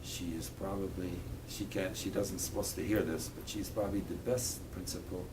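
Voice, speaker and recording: This is an older man with a deep, low voice. He talks to schoolchildren haltingly and earnestly, often breaking off and starting a phrase again. He sits well back from the mic in a school room, with steady background noise. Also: recorded from a distance. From Poland. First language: Polish. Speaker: guest speaker. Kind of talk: talk and Q&A with schoolchildren.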